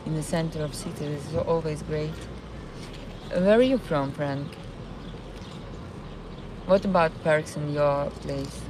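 A woman with a Ukrainian accent, live-streaming from a city park and chatting with her viewers in a halting way, often searching for words. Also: sits low, noisy background. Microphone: phone.

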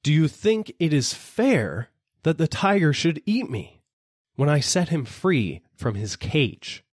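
Man playing a pleading, high-strung brahmin. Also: sing-song, slow, no room sound, studio quality, heavily acted. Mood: exasperated. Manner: insistent, imploring.

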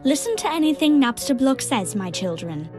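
A woman acts out a motherly monster in an audio drama. She is gentle and anxious, speaking in careful formal phrases with a highish, very expressive voice over faint music. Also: moderate pace. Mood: cautioning. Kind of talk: fan audio drama acting.

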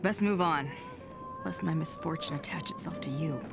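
A woman's voice: low-pitched, normal speed. A woman voicing a dejected, stoic, hardened caravan guard in a fantasy video game. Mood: weary, dejected. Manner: weary, stoic. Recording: noisy game capture with ambience.

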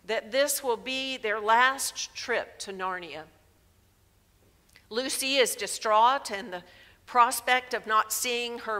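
A woman in her sixties preaching a sermon in a church sanctuary through a headset mic, measured and emphatic. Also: on the high side, bright, forceful tone. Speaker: pastor. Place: large church sanctuary, indoors. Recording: long reverb of a church hall.

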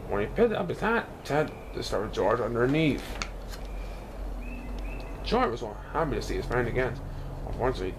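Animated man reading a children's storybook. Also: medium pitch, background noise. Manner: animated storytelling, occasional stumbles over words. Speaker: storybook reader.

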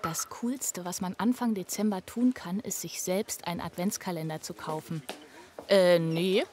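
A woman's voice: lowish voice, fairly even intonation, unhurried, lightly acted. An actress playing a wry, tender-hearted, playful woman talking casually in an audio drama. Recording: noisy ambient location sound.

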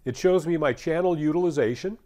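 American man giving a conversational software walkthrough. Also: a little high, a little fast. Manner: conversational, step-by-step explaining. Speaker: technical trainer. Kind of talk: instructional software demonstration.

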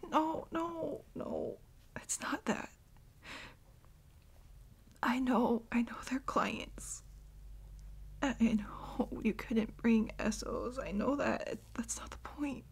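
A woman playing a tearful, insecure girlfriend in an ASMR roleplay, crying and speaking in halting, breathy, self-deprecating phrases. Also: on the high side, full character voice. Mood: tearful reassurance, then sad, insecure. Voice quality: breathy, whispery. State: crying, sniffly. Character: tearful, insecure young girlfriend seeking comfort.

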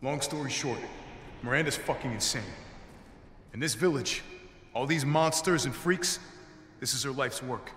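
Casting: male actor as hardened middle-aged captain, commanding and weary game hero, tense bright edge, reverberant room. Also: mid-range voice, normal speed, natural voice. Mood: firm, explaining. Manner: terse, commanding, forceful. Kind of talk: cinematic video game acting. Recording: echoey reverberant space, faint noise.

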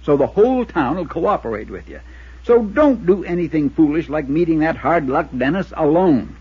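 An actor playing an anxious, insistent small-town American insurance agent in a 1950s radio drama, earnest and pleading, with a husky voice. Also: male voice, a little high, expressive, normal speed, dry recording. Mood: uneasy, reluctant. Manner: earnest, pleading, hesitant under pressure. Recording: dull lo-fi 1950s radio recording.

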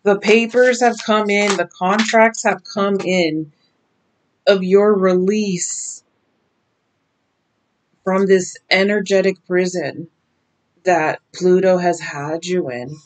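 Woman in her 30s, tarot reader, sleep-raspy voice, very slow deliberate delivery, camera at desk distance. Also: mid-range voice, fairly even intonation. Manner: slow, emphatic, drawn-out warnings. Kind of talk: slow intuitive card reading to camera. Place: small decorated bedroom indoors.

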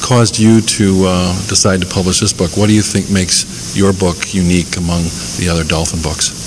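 A man's voice: deep voice, level tone, moderate pace. A man hosting a radio talk show, easygoing and hesitant, with frequent fillers. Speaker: radio host. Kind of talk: radio interview. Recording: noisy 1990s radio recording.

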